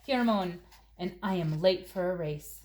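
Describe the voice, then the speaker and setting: A woman's voice: lowish voice, a little slow, a little mellow, slight background noise, lightly acted. A woman voicing a stubborn, imaginative little girl in a storybook, declaring things insistently. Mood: proudly insistent.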